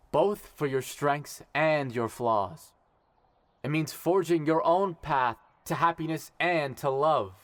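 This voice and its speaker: A man playing an earnest young male ruler in an audio drama, speaking in a measured, sincere way. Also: mid-range voice, no room sound, close to an everyday voice.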